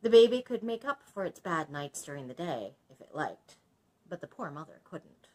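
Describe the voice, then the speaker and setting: A woman reads a children's book aloud in a low, husky voice. Her intonation swings widely as she narrates. She has the explanatory manner of a librarian teaching young listeners. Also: sing-song, moderate pace, dark tone. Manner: instructive, explains old words to young listeners. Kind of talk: expressive storybook narration with explanatory asides.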